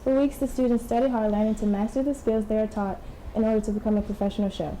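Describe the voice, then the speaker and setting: A young woman student reporter narrating a news feature in a quick, polished read. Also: mid-range voice, steady tone, dark tone, a little hiss or hum, low-quality recording. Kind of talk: scripted news package narration.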